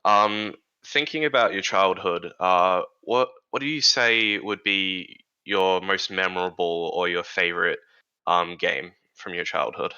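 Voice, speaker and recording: A man's voice: sits low, a little slow, bright, forceful tone, clean tone. A man moderating a panel Q&A over a video call, friendly and full of ums as he invites questions. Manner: friendly, filler-heavy. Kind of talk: hosting a panel Q&A. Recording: dull lo-fi audio.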